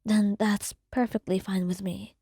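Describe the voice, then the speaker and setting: A woman voicing a gentle male partner who comforts the listener in a soft, soothing whisper. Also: medium pitch, flat delivery, a little slow, breathy edge, no room sound, studio quality. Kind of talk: whispered ASMR roleplay.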